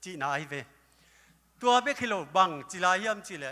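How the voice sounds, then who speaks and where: An older man preaching a sermon at a lectern with a handheld microphone, breaking into loud exclamations now and then. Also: high-pitched, animated, moderate pace. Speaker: pastor. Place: church hall stage. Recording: live church hall with long reverb.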